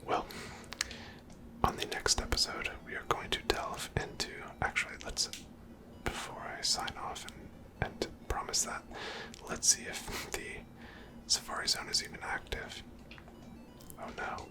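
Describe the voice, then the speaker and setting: A person whispering softly and breathily, giving gentle, casual ASMR commentary while playing a video game. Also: a little slow. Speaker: host of a gameplay series.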